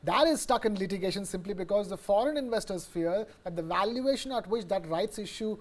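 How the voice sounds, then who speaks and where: A man with an Indian accent giving unscripted live news commentary, haltingly, repeating words as he explains. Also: high-pitched, expressive. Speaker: news correspondent analyst.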